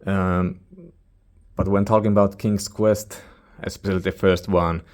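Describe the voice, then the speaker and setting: Low, monotone man giving a casual review. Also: a little slow. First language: not English. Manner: hesitant, informal, searching for words. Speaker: music reviewer, channel host. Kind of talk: casual rambling album review.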